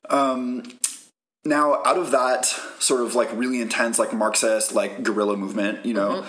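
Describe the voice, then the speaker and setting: A man's voice: mid-range voice, flat delivery, moderate pace, a bit bright. A man co-hosting a podcast, explaining political background casually with many "like"s and "um"s. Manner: explains at length, full of "like" and "um". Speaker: podcast co-host.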